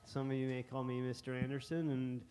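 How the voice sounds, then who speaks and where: A man's voice: medium pitch, flat delivery, a little fast, heavy background noise. A man of thirty-eight chatting casually as an honorary member of a panel. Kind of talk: casual chat.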